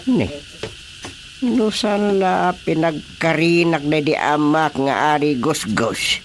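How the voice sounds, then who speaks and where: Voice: mid-range voice, slow pace, slight background noise. An actor playing a pompous, easily exasperated king in an Ilocano comedy radio drama, scolding and blustering. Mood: haughty.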